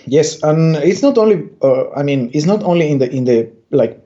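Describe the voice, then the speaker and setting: A man's voice: a little high, expressive, moderate pace, warm tone. A man from Bolivia, a comic artist guest, talking in a rambling way with many restarts. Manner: rambling, stammers and restarts often. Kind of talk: casual interview chat. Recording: dull lo-fi audio.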